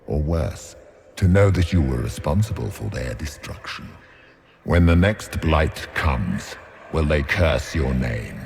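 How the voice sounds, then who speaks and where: Cavernously deep, slow demon of fear. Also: male voice, expressive, creaky, a little mellow, exaggerated voice. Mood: cold taunting. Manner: slow, taunting, grandiose. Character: male giant demon of fear, menacing and taunting. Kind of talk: video game villain acting.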